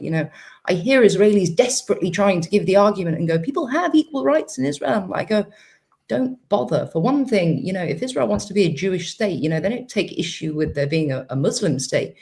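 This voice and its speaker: A woman with a gravelly, fry-laden voice argues her points on a panel. She is blunt and emphatic and drives each point home. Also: lowish voice, expressive, a little fast, darkish tone. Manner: emphatic, blunt, quotes herself. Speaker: panel guest. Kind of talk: opinionated panel discussion.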